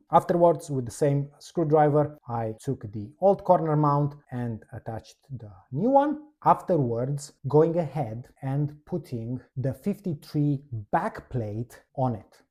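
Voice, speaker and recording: A man's voice: mid-range voice, dark tone. A man narrating a product walkthrough, explaining methodically, one step at a time. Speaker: product presenter, installer.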